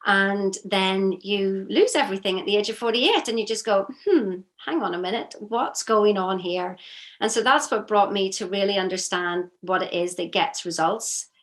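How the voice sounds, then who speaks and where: A woman in her fifties speaks with a Northern Irish accent in a measured, reflective way. She is a guest in a conversational interview. Her voice sits at an ordinary pitch. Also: a bit bright. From Northern Ireland. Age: 50s. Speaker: guest, business coach.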